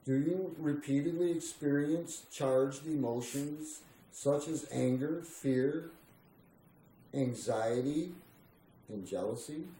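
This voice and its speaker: Male reader for spiritual text, slow and meditative delivery, roomy sound with slight background noise. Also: a little high, warm tone. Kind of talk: slow meditative scripted reading.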